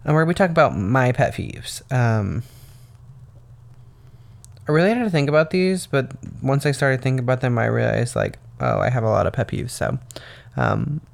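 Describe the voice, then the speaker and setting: Gravelly-voiced young man rambling casually. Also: medium pitch, very animated, moderate pace. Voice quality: slightly raspy, gravelly. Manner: rambling, meandering talk full of "um" and "like". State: tired from waking very early. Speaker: podcast host. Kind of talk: casual solo podcast monologue.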